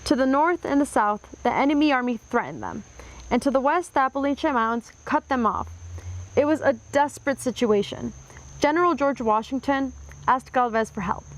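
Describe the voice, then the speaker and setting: A woman narrating a children's history book aloud with clear, expressive storytelling. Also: high voice, moderate pace.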